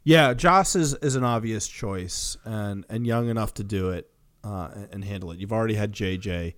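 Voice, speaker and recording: A man's voice: on the low side, normal speed, no echo, clean studio sound. A man co-hosting a podcast, casually discussing film news. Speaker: podcast co-host.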